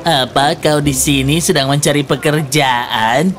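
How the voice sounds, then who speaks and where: A man's voice: a little high, a little slow, fairly bright tone. A man voicing a wary townsman looking for work in a fairy tale, questioning and cautionary, with music behind him. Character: wary townsman job-seeker afraid of ghosts.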